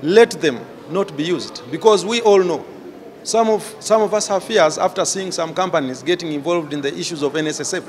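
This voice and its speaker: A man's voice: fairly high pitch, brisk. A man with a Ugandan accent, a legislator giving an interview answer into a handheld microphone. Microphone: handheld reporter microphone. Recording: roomy outdoor interview, background noise.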